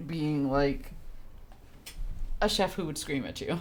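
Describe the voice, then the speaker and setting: A woman's voice: deep voice, slow, a little hiss or hum. A woman giving casual, reactive live commentary as she streams a game, talking informally.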